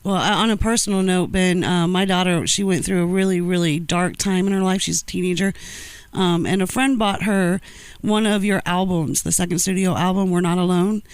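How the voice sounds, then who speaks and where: A middle-aged man interviewing, speaking in a personal, conversational style with pauses between short phrases. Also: high voice, steady tone, smooth, no room sound.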